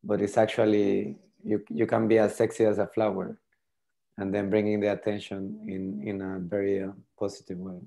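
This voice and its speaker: A man's voice: lowish voice, flat delivery, moderate pace, gravelly, warm tone. A middle-aged man whose first language is French, explaining a mapping tool on a video call, often repeating words as he thinks. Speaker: tool demonstrator. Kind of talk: casual video call demo.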